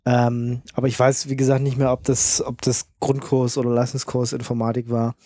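A man's voice: medium pitch, completely flat delivery, normal speed, warm tone, no room sound. A man in casual podcast chat who recalls things hesitantly, with many fillers.

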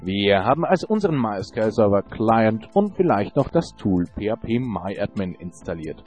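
Lower-voiced guy teaching a tech workshop, lively up and down, music in back. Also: normal speed, slightly rough. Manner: instructive, lecturing tone. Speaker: workshop presenter. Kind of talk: scripted tutorial.

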